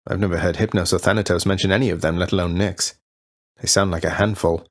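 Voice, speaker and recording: Rebellious young underworld prince for a game: low register, dry studio sound, wry understatement, courteous ease. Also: male voice, fairly even intonation, fast talker, dry recording. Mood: curious, concerned. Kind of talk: video game character voice lines.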